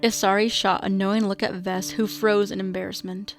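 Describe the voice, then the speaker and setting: A woman's voice: mid-range voice, little pitch movement, fairly quick, gravelly, faint music behind. A woman reading scripted narration for an audio drama.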